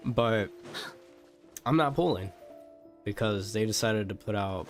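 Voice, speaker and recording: Male streamer, young adult: offhand slangy gameplay chat, rather low and fry-heavy, music behind. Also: measured pace. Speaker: game streamer. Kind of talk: casual gameplay commentary.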